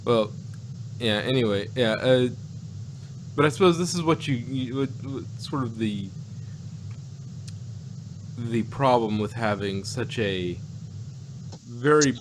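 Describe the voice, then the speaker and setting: Slow, halting man over noisy hum. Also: medium pitch. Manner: rambling, halting, searching for words. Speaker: podcast co-host reviewing audio dramas. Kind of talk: casual unscripted chat.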